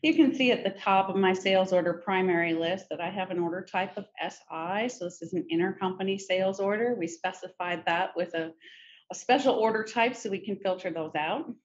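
A middle-aged woman presenting a software demo in a conversational, informal step-by-step tutorial style. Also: medium pitch, fairly quick. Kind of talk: conversational screen-share tutorial. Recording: muffled, noisy screen-recording audio.

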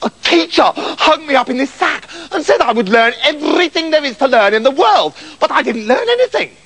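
A man's voice: extremely high pitch, very animated, fairly quick, a bit bright, pitched above the performer's own voice, full character voice. A man voicing a cowardly, pleading brother in a children's story, melodramatic and stammering. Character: cruel, cowardly brother turned pleading and gushing.

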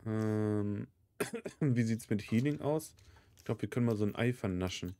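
A man's voice: on the low side, animated, a little slow, dark tone. A man in his thirties with a cold, giving casual, sweary gameplay commentary as a let's play host. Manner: sweary, muttering reactions to the game. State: has a cold, coughing.

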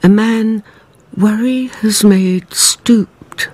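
A woman narrating a radio drama in a slow, expressive, slightly breathy voice. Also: medium pitch, no echo.

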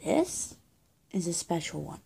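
A young adult woman doing a soft-spoken, improvised roleplay as a friendly good witch of high-school age, talking casually and haltingly to the viewer and often dropping to a near-whisper. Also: big pitch swings, gravelly, breathy edge. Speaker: roleplay video creator. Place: small indoor room, plain walls. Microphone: phone or webcam at arm's length.

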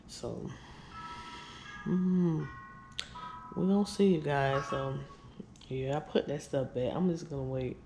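Tired woman vlogger, gravelly low fry, casual y'all chat, faint room noise. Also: warm tone. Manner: chatty, tired, addresses viewers as y'all. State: weary. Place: living room, on couch, indoors. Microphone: handheld camera at close range.

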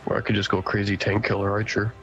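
Low monotone gamer guy on a headset, chill, bit cocky, muffled chat audio. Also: fast, soft background music. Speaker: teammate on voice chat. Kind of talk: casual gaming chat. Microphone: headset over voice chat.

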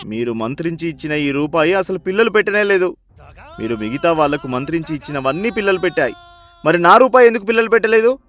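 A man voicing a greedy, gullible, anxious village moneylender in an animated moral story, in a whiny, pleading way. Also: on the high side, fast.

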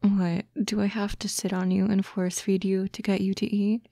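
A woman voicing a doting, teasing fox-girl girlfriend in a soft, breathy, coaxing ASMR roleplay, close to the microphone. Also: mid-range voice, little pitch movement, no echo. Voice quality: soft, breathy, often whispering. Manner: slow, coaxing, cooing. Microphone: close, dry microphone.

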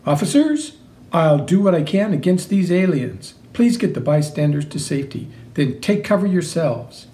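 A man's voice: on the high side, big pitch swings, normal speed, dark tone, reverberant. A man voicing a heroic, courteous cartoon mouse superhero in a grand, declamatory, formally polite way. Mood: triumphant.

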